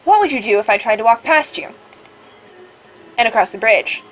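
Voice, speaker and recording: A woman's voice: on the high side, animated, normal speed, a bit bright, faint music behind. A woman voicing a curious, cheeky young adventurer, reading visual novel dialogue in a casual, teasing way over a noisy recording.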